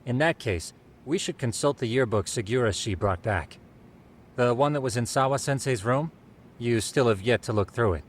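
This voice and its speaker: An actor playing a precise, bookish researcher in an English game dub, speaking formally and methodically. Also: male voice, mid-range voice, expressive, moderate pace, a little hiss or hum, close to an everyday voice. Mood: matter-of-fact. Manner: formal, exact, explanatory. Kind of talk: English video game dub cutscene acting.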